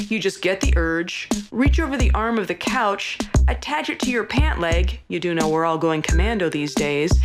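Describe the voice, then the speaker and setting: A woman reading a satirical comic monologue in a folksy, deadpan manner. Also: medium pitch. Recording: loud instrumental music under voice. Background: instrumental music.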